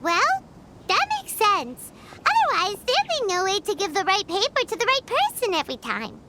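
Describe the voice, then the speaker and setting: A woman's voice: extremely high pitch, sing-song, normal speed, bright, forceful tone, a little hiss or hum, heavily acted. A woman voicing a tiny, childlike fairy companion in an anime-style video game, chatty, dramatic and calling herself by name. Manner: refers to self by name in third person.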